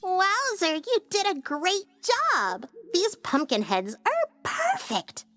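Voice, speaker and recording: A woman voicing a quirky, excitable, scatterbrained know-it-all in a video game, rambling with exaggerated emphasis. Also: very high-pitched, slow, faint music behind, heavily acted. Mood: cheerful, sheepish. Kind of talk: video game character acting.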